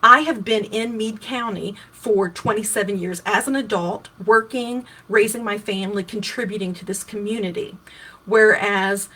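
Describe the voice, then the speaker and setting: A woman in her fifties from Kentucky talks straight to her viewers in a casual livestream, walking them through practical details. Her voice is husky and sits at a middle pitch, and she often restarts a phrase or adds a filler as she goes. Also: fairly even intonation, moderate pace, bright, forceful tone, low-quality recording. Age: 50s. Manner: conversational, with many restarts and fillers, answering viewer questions. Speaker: political candidate hosting her own livestream. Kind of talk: casual explanatory livestream talk.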